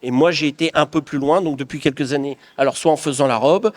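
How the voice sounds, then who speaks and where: Man from the Paris region, middle-aged, fairly high voice, earnest, reflective, restarts often. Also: fast talker. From Paris region of France. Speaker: craftsman guest. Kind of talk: interview answers.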